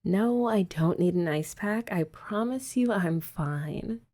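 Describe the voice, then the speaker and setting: A woman's voice: lowish voice, expressive, slow pace, mellow. A young woman doing girlfriend roleplay ASMR, chatty and teasing with rambling asides. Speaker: ASMR creator.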